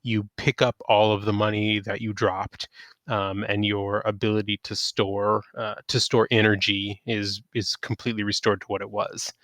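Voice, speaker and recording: A man hosting a podcast, chatting casually about games in a halting, conversational storytelling way. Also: fairly low pitch, little pitch movement, a bit bright, dry recording. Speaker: podcast host. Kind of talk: casual gaming chat.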